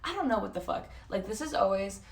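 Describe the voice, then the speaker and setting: A woman's voice: medium pitch, animated, quick pace, creaky, far from the mic, slight background noise. A woman in her early twenties with a North American accent, chatting casually to livestream viewers in a rambling, slangy, self-interrupting way. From San Jose, California and Toronto, Canada. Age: early 20s.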